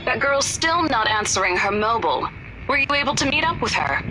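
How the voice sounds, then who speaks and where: A woman's voice: fairly high pitch, big pitch swings, a little fast, noisy background. A woman playing a polite, apologetic, hesitant phone caller in a visual novel.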